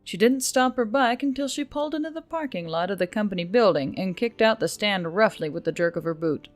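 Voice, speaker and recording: A woman's voice: medium pitch, expressive, fairly quick. A woman reading scripted audiobook-style fiction as a story narrator, with music behind her. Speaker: story narrator, channel reader. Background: background music.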